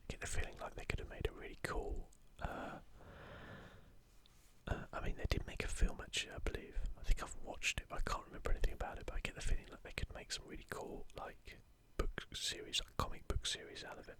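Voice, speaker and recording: A man speaking in a soft, breathy whisper, gently musing in a casual ASMR show-and-tell close to the microphone. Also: fast talker. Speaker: host of an ASMR gaming channel.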